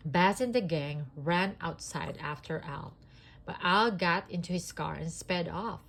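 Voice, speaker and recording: A sniffly woman reading a children's storybook aloud in an expressive, homemade style. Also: on the low side, unhurried, gravelly.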